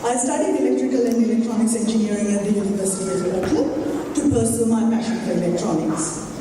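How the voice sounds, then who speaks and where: A woman in her forties from Sri Lanka giving a formal keynote speech, measured and earnest, with an occasional "um". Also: mid-range voice, steady tone, noisy background. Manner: measured, earnest, with some filler ums. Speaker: keynote speaker. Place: large echoey hall. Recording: echoey hall, speaker far from mic.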